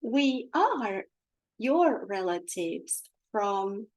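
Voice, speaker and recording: A woman with a Romanian accent voicing a loving collective of star beings that speak as one, in a very slow, measured, solemn way. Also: mid-range voice, big pitch swings, dry recording. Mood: serene. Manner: very slow, measured, solemn delivery with long pauses.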